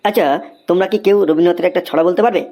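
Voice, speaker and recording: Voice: medium pitch, racing, dark tone, close to an everyday voice. A performer voicing an exasperated male schoolteacher in a Bengali comedy cartoon, with a theatrical, scolding manner. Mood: patient, quizzing.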